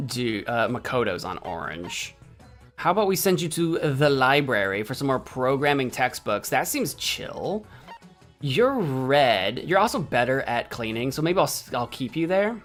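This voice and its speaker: A man's voice: mid-range voice, big pitch swings, measured pace, a bit bright, faint music behind. An American man casually thinking aloud while playing a game on stream, rambling, correcting himself and bantering with chat in a mock-indignant way. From United States. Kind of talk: casual gameplay commentary.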